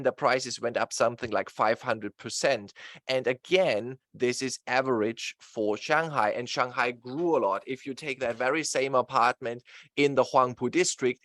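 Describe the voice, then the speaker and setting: A man's voice: medium pitch, fairly bright tone, no room sound. A man with a German accent, a guest expert in an interview, explaining slides at length in a talkative way with many fillers. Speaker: guest real estate expert.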